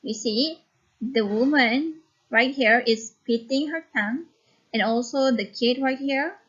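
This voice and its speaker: A woman teacher reading a story aloud and giving instruction in a deliberate, instructive manner. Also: fairly high pitch, highs cut off.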